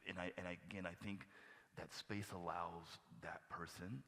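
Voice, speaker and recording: A man with a very low voice that still comes through bright and forceful, talking slowly and reflectively with many restarts. He trails into soft asides as he searches for words. His voice carries a long reverb from a large hall. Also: fairly even intonation. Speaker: panel speaker who gives Friday sermons at a jail. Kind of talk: reflective panel talk. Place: church hall.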